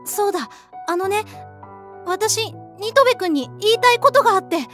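A woman's voice: extremely high pitch, lively intonation, moderate pace, fairly bright tone. A woman playing a friendly, sweet young woman in an audio drama, speaking in a casual, girlish and direct way. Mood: sweet, shy, happy. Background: background music.

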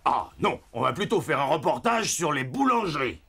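A woman voicing a greedy, excitable animal in a children's cartoon. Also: deep voice, moderate pace, gravelly, husky, full character voice. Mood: dreamy, hungry. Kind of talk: French children's cartoon dubbing. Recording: music behind the voice.

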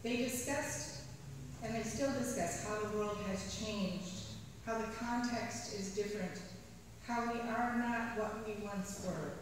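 A middle-aged woman priest reading a sermon from the pulpit, measured, slowing down to stress key phrases. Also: mid-range voice, background noise. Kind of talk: read sermon. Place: large church sanctuary. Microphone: pulpit mic at a distance. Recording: echoey church hall from far off.

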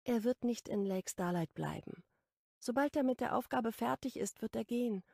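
A narrator plays a stressed young woman in a romance audiobook. She is candid and a little defensive, with wry edges. Her voice has noticeable vocal fry and sits very dry. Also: a little high, normal speed, mellow, dry recording, pitched above the performer's own voice. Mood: troubled, candid.

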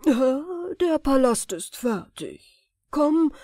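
A woman's voice: sits high, sing-song, takes it slowly, warm tone, no room sound, studio quality, voice pushed up, full character voice. A woman voicing a powerful, obedient male genie in a storybook, commanding and dramatic. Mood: proud, eager.

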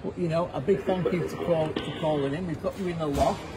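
A middle-aged man with a slightly high voice operates an amateur radio station. He is chatty and courteous, moving between casual talk and formal call signs. There is clear background noise from a busy indoor event. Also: steady tone, brisk. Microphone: bystander's camera near the operator, who holds a hand microphone.

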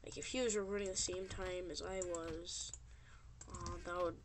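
A gamer giving rambling, informal and excitable gameplay commentary as host of a gaming video. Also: mid-range voice, normal speed. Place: indoors at a computer. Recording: background noise with keyboard clicks. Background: keyboard typing and mouse clicks.

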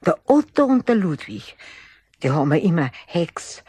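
A woman's voice: on the low side, big pitch swings, moderate pace, warm tone, husky, no echo. A woman playing a timid, put-upon old lady with a Bavarian accent in a radio play. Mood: aggrieved. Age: older.

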